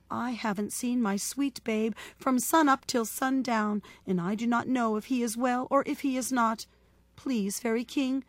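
A woman's voice: sits high, no room sound, pitched above the performer's own voice. A woman voicing a clever, polite storybook baker woman who pleads sweetly and artfully. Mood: worried pleading. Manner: imploring, courteous, artful. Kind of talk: storybook character voice.

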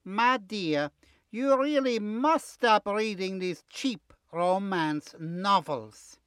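A man voicing a smug, condescending storybook cat husband with a patronizing, unhurried manner and a light French flavour. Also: high voice, lively intonation, fairly bright tone, clean tone, no echo, studio quality, voice pushed up, heavily acted.

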